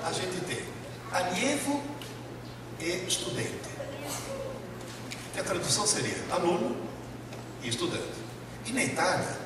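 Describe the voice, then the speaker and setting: A man from Italy with an Italian accent, a professor lecturing emphatically to a live audience and putting rhetorical questions to them. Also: sits high. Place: large lecture hall. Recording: echoey hall, distant mic, noisy.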